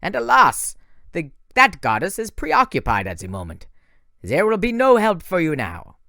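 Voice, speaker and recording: A man's voice: on the high side, wildly swooping pitch, moderate pace, vocal fry, sharp tone, no room sound, studio quality, pitched above the performer's own voice, exaggerated voice. A man voicing a menacing male monster who speaks with a heavy French accent and gloats over his captives. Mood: gloating.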